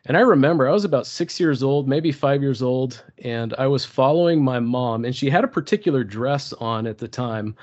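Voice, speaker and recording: A man from Turkey, a podcast guest telling a personal story slowly and thoughtfully, often restarting his sentences. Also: a little mellow. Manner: slow, thoughtful, many restarts. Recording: dull low-fidelity podcast audio.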